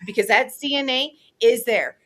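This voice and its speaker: A woman's voice: fairly high pitch, normal speed, fairly bright tone. A woman on a discussion panel, talking bluntly and emphatically, and not minding whom she offends. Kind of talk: conversational panel talk.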